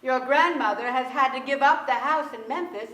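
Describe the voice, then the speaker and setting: A woman's voice: high voice, moderate pace, a bit bright. An actress playing a genteel mother in a dramatic, pointed theatrical stage reading. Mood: pointed, plaintive. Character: genteel mother of a troubled household. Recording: echoey theater, distant pickup.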